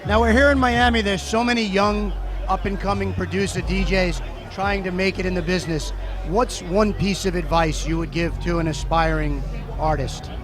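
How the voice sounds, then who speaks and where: A man hosting a radio interview backstage at a music event, asking brisk, upbeat questions. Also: high-pitched, fairly even intonation, a crowd in the background. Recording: loud music in background. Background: music playing.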